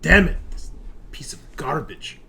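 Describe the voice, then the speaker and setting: A man voicing a frustrated, terse female crew technician in a tabletop game, speaking in halting, curt phrases. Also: a little high, big pitch swings, gravelly, pushed and bright, in a whisper, voice pushed up, heavily acted. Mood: angry, frustrated.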